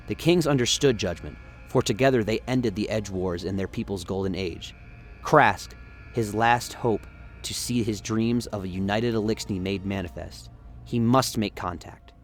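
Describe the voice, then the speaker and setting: A man giving scripted, dramatic narration of a story as the narrator of a radio play. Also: fairly low pitch.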